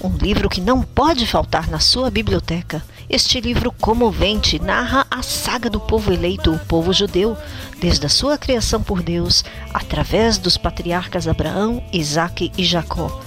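A woman hosting a radio program reads recorded promo announcements in a clear, inviting announcer delivery. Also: on the low side. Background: music bed.

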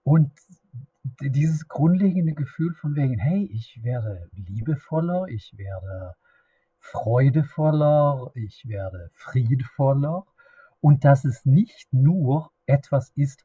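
A middle-aged man with a Swedish accent, a native Swedish speaker, hosting a solo podcast in a halting, unscripted way, often restarting and searching for words. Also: medium pitch, dark tone, slightly rough, no echo, lo-fi sound. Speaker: podcast host.

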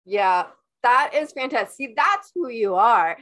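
A woman's voice: a little high, big pitch swings, measured pace, fairly bright tone. A woman hosting a podcast interview, upbeat and informal in her questions. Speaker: podcast host.

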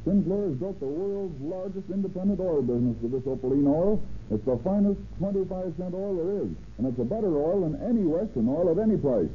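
An eager service station attendant in a vintage radio commercial. He sells with assurance, quickly and at a higher pitch. The recording is dull and noisy. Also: animated. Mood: assured, selling. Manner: promotional, assured. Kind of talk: 1930s radio ad acting. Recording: muffled, humming old radio recording.

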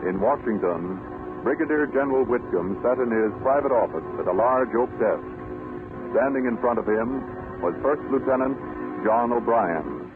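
A man's voice: medium pitch, animated, moderate pace. A man announcing and narrating a 1940s radio drama in a dramatic, declamatory style. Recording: muffled vintage radio recording, loud music.

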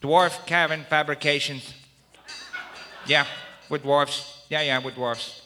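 A man's voice: a little high, steady tone, slow pace, bright, forceful tone. Man playing a blunt dwarf craftsman, noisy recording. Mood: grudging, offhand. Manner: blunt, repetitive, offhand. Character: gruff, grudging male dwarf craftsman. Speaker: fabricator at a dwarf workshop. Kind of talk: live audio comedy acting. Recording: live stage recording with clear noise.

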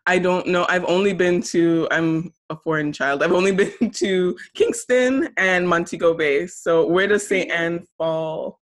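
A woman's voice: on the low side, unhurried, hardly any pauses. A woman hosting an interview, upbeat and friendly, often saying awesome and okay. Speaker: podcast host.

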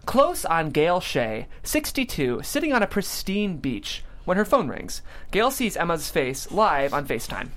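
A script narrator with a mid-pitched voice and lively movement in it. The reading is scripted stage directions for a comedy table read. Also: a little slow.

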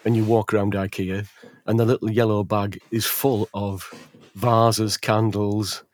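A man hosting a podcast chat, relaxed and hesitant with plenty of fillers. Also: fairly low pitch, a little slow. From England. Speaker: podcast host. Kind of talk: casual chat. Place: at home near some furniture.

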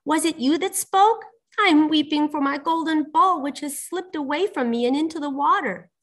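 A woman's voice: sits high, moderate pace, far from the mic, voice pushed up, lightly acted. A woman voicing a vain, spoiled young princess in a storybook, exclamatory and haughty. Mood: surprised, lamenting. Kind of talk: storybook character voice.

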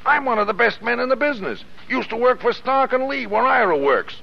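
A scheming, resentful American small-time crook in a 1930s film. He is slangy and insistent, his pitch moving restlessly, on a dull old soundtrack. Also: animated, brisk, vocal fry, heavily acted. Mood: aggrieved, ranting. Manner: griping, insistent.

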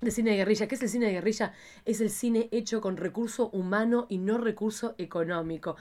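A woman's voice: medium pitch, brisk, darkish tone, slight background noise. An Argentine woman hosting a casual chat show in an irreverent, theatrical, slangy way. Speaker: podcast host.

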